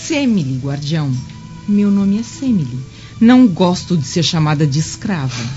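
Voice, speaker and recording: A woman's voice: lowish voice, very animated, moderate pace, mellow. An actress playing a bold, sly slave woman in a radio drama, with a cool, teasing way of talking. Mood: guarded, proud. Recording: muffled lo-fi radio drama, music underneath.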